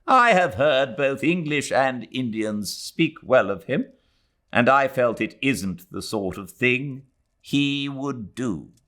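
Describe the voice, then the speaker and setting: A man's voice: mid-range voice, expressive, takes it slowly, fairly bright tone, pitched above the performer's own voice. A man voicing an irritable, weary old Englishwoman from a novel, disdainful and brooding, with a rambling, emphatic delivery. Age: older.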